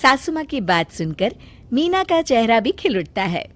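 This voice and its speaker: A woman's voice: a little high, big pitch swings, moderate pace, dry recording. A woman reading scripted storybook narration for a cartoon, as the storyteller.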